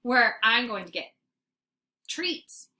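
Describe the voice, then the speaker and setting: Bright-voiced woman reading a children's story. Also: a little high, slow. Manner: dramatic, animated storytelling. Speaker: teacher reading aloud to students. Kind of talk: expressive children's book read-aloud. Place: informal homemade recording. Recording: faint background noise, homemade recording.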